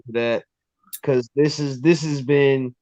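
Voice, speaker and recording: A man in his twenties, a guest on a sports podcast, talking casually and excitedly with lots of restarts and ums. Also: mid-range voice, takes it slowly, dry recording, low-quality recording. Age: 20s.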